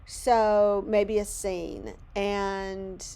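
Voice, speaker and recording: A middle-aged woman talks through her craft project in a loose, rambling way, poking fun at herself as she goes. Her voice is hoarse and worn, close to giving out. She sounds like she's chatting with friends from a cluttered home studio. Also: medium pitch, level tone, drawn-out words, dark tone. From Louisiana. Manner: chatty, rambling, self-deprecating. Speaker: craft channel host. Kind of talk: casual crafting narration.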